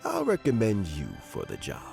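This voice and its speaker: Deep old statesman guy, gentle and formal, voice swoops a lot, game scene. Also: wildly swooping pitch, faint music behind, full character voice. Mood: courteous. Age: older. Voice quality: gravelly and low. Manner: slow, measured, courteous. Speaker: retiring elder statesman. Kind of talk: video game cutscene dialogue.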